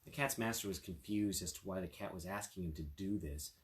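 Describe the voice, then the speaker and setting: A man's voice: low-pitched, a little fast. A man reading a fairy tale aloud as a storyteller, with casual asides to his listeners. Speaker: storyteller, attorney.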